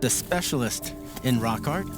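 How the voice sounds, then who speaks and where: Male historian-presenter, American accent, medium pitch, documentary narration with music bed. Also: lively intonation, unhurried. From United States. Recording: music behind the voice.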